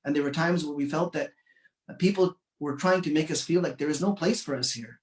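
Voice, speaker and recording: A man from Canada giving an earnest, measured commemorative speech, pausing often with fillers. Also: fairly high pitch, echoey room, distant mic. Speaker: community speaker.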